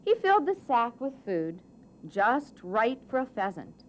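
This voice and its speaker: A woman narrating a children's story in a deliberate, expressive way with dramatic phrasing. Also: fairly high pitch.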